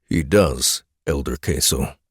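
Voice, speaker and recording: A man's voice: extremely low pitch, takes it slowly, breathy edge, dry recording, clean studio sound, pitched below the performer's own voice, heavily acted. A man voicing a brutish male beast-folk warrior in a fantasy audiobook, stern, loyal, terse and grave. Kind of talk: fantasy audiobook character acting.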